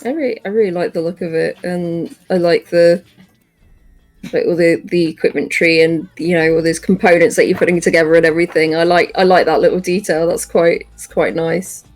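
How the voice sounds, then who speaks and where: A woman's voice: fairly low pitch, fairly even intonation, fairly quick, soft background music. A woman with a British accent co-hosting a podcast, chatting casually and appreciatively about video games. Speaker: podcast co-host. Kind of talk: casual video game discussion.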